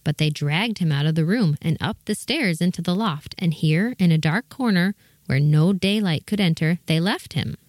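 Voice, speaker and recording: A woman's voice: fairly low pitch, normal speed, a little mellow. A woman giving scripted narration of a children's storybook tale.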